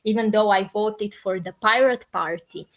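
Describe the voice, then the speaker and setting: A woman with a Bulgarian accent speaks as an interview guest at a middling pitch. Her delivery is conversational and informal, and she often qualifies and rephrases her points while thinking aloud. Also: normal speed. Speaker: podcast guest, peace activist.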